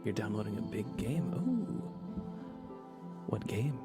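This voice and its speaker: A man doing a soft-spoken ASMR live stream, chatting to viewers in a low-key way and now and then slipping into whispers. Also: sing-song, takes it slowly. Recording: loud game music behind the voice. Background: video game music.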